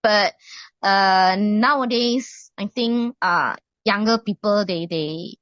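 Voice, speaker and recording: A woman's voice: medium pitch, takes it slowly, fairly bright tone, dry recording. A middle-aged Indonesian woman with an Indonesian accent, answering interview questions hesitantly with many fillers and restarts. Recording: muffled dry recording.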